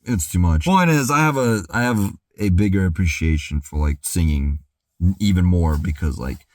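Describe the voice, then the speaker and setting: A young man talking through a casual music reaction, rambling and excitable, with lots of "dude" and "like", a slightly worn voice, and loud outbursts when he gets hyped. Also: deep voice, moderate pace, no echo, clean studio sound. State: voice worn from singing practice.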